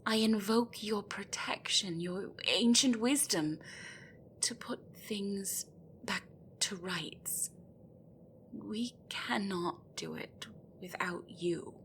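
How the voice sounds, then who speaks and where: An anxious female gryphon, acted by a woman, speaks slowly in a medium-pitched voice. She is deferential and hesitant, forever pleading her case in a fantasy audio drama, over faint noise. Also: fairly bright tone. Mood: fearful pleading. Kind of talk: audio roleplay acting.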